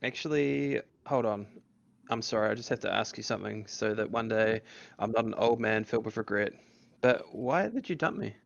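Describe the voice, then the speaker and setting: A man's voice: lowish voice, normal speed, creaky, no echo. A man reading the part of an awkward young man in an amateur podcast table read, earnest and rambling, on a dull lo-fi mic.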